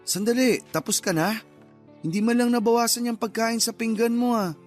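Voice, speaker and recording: A man acting as a caring, patient husband in radio drama dialogue, gently reassuring. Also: very high voice, steady tone, moderate pace. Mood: concerned, coaxing. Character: caring, patient husband coaxing his mourning wife. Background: music bed.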